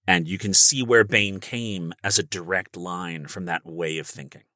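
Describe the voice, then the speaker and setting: A man giving animated, instructive explanations as a guest voice coach in a podcast conversation. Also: low voice, moderate pace, no room sound, studio quality.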